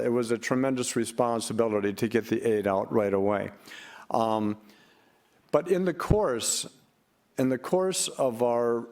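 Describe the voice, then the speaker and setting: An older man giving an unscripted conference panel talk, halting and rambling, with frequent hesitations. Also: medium pitch, a little mellow. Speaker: panel speaker for a relief organization.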